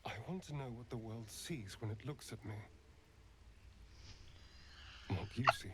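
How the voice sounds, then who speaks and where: A man's voice: medium pitch, expressive, fairly quick, darkish tone, a little hiss or hum, natural voice. An actor playing a vain, eternally young male vampire in a video game, with a theatrical, haughty manner. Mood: wistful.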